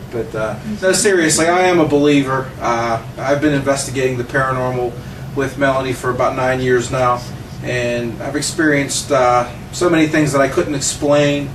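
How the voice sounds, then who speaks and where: An American man in his early forties talks casually to a camera, with an average-pitched voice. He sounds conversational and unscripted. The recording is roomy and picked up from a distance. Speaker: paranormal investigator. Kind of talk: casual spoken self-introduction to camera. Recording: echoey room, distant mic, faint noise.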